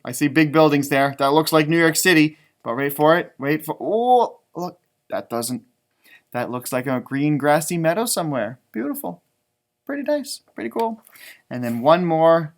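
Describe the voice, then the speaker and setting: A man with a fairly light, high-set voice leads a storytime for young children. He is chatty and enthusiastic, speaks directly to his listeners, and draws words out for emphasis in the casual way of an elementary school teacher. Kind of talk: casual storytime read-aloud talk for children.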